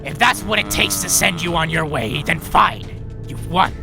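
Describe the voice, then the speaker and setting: An actor playing a gruff, urgent robot medic with a gravelly voice in a sci-fi audio drama. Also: male voice, high-pitched, normal speed, music in the background. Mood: grim.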